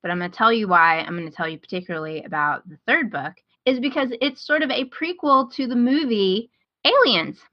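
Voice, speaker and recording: A woman's voice: on the high side, lively intonation, a little fast, no echo, muffled recording. A woman hosting a podcast, talking casually in a chatty, enthusiastic way about things she recommends. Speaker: podcast host.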